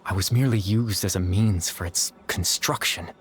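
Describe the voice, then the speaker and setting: An actor playing a melancholy, resigned being in a video game, explaining things in a measured, formal way. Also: male voice, deep voice, lightly acted. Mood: somber, resigned. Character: melancholy male being resigned to his sacrifice, calmly explanatory. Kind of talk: dramatic video game voice acting.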